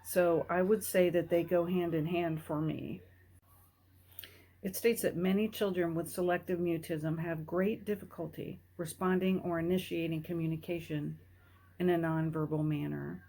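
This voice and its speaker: A woman hosting a personal talk, speaking earnestly and deliberately with pauses between phrases. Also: on the low side, fairly even intonation, mellow, poor audio. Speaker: host.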